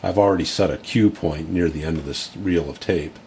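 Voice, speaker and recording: A middle-aged man casually demonstrating a tape deck at home, explaining in a relaxed way with plenty of fillers. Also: very deep voice, a little fast, noisy background.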